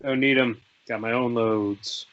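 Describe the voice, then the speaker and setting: A man's voice: medium pitch, steady tone, unhurried, highs cut off, crowd noise, lightly acted. A man playing a defiant, distrustful young commando with curt retorts in a script read. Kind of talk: screenplay table read.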